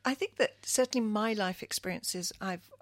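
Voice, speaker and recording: A woman's voice: mid-range voice, normal speed. A middle-aged woman from Kenya, speaking as a radio interview guest in an earnest, thoughtful way with frequent restarts.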